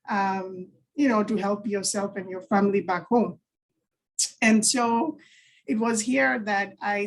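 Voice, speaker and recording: A woman's voice: medium pitch, rough recording. A young woman from Kenya, giving a story-driven talk as guest speaker at a virtual session, chatty with frequent ums and light laughs.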